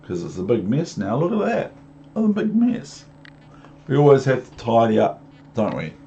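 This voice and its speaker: A man's voice: mid-range voice, huge pitch swings, moderate pace, creaky, mellow. A man reading a picture book aloud to young children, with chatty asides and questions. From New Zealand. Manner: rhythmic, repetitive, talking down to young listeners with questions. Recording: muffled lo-fi home recording with background noise.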